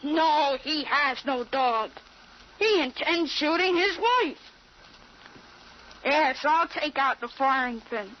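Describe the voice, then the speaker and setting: A careful boy trying to sound adult, high in pitch and very deliberate. The phrasing is formal and measured, in old radio drama style on a dull recording. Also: lively intonation. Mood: grave, urgent. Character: boy disguising voice as grown man, careful, formal caller.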